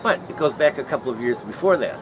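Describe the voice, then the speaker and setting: A middle-aged man explaining things casually to viewers, pausing often with ums and uhs. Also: mid-range voice, lively intonation. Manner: hesitant, many ums and uhs. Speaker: vlogger answering viewer questions. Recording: loud outdoor traffic noise.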